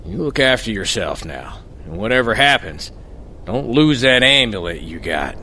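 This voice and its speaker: An actor playing a gruff, wary, pious old rustic coachman with a folksy drawl, in a horror audio drama. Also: fairly low pitch, very animated, normal speed, sharp tone, slight background noise. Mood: solemn, wary.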